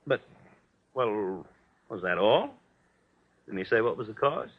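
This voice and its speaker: An actor playing a tired, fussy, well-meaning American husband in 1940s radio drama, with rambling, hesitant, folksy patter. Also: male voice, lowish voice, lively intonation, a little slow. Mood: awkward concern. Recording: dull old radio drama recording.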